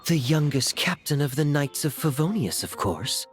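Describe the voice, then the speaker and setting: A woman voicing a suave, teasing young knight with sly humor in a video game, in a smooth, theatrical manner. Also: sits low, lively intonation, moderate pace, faint music behind. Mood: amused, teasing.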